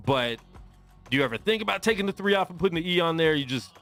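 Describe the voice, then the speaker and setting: A man's voice: fairly high pitch, animated, quick pace, a bit bright, soft background music. A man in his forties hosting a radio show, chatting casually in the studio and laughing often. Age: 40s. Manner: laid-back, laughs often.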